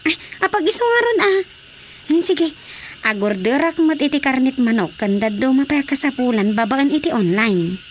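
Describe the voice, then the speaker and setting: Masigasig, mataas-boses na babaeng Ilokana. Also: female voice, sits high, moderate pace. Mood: eager. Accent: Ilocano. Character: practical, enterprising village woman, brisk and persuasive. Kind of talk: Ilocano radio drama acting. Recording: steady background noise.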